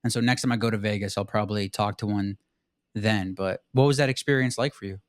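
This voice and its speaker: A man's voice: on the low side, fairly even intonation, quick pace, no echo, studio quality. A man hosting a casual podcast chat, laid-back and loose, filling his talk with "like" and "dude". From southern Arizona. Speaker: podcast host.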